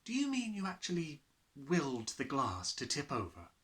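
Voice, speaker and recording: A man voicing a gentle, patient woman schoolteacher in a storybook, speaking softly and carefully. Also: a little high, wildly swooping pitch, moderate pace. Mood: puzzled. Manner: soft, careful, soothing.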